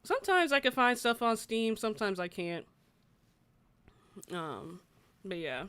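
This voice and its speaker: A woman's voice: medium pitch, sing-song, a little slow, fairly bright tone, clean tone, no room sound. A woman hosting a gaming livestream, chatting informally with viewers and reading news aloud in a conversational way. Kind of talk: casual livestream chat, reading articles aloud and reacting.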